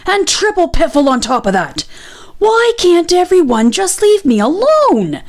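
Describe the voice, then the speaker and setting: A woman's voice: extremely high pitch, huge pitch swings, unhurried, voice pushed up, full character voice. A woman voicing a grumpy, huffy male badger in a children's story, spluttering and easily exasperated. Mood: fed up. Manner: blustering, spluttering exclamations.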